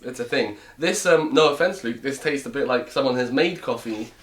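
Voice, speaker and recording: A man's voice: medium pitch, moderate pace, a bit bright. A man in his twenties from Romania, a comedian guest chatting casually and joking in a rambling way. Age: 20s. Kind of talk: casual chat.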